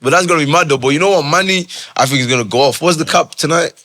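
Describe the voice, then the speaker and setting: A man's voice: fairly high pitch, rapid-fire, pushed and bright. A man hosting a podcast, chatting casually with slang and easy laughter. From Nigeria. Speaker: podcast host. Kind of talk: casual chat.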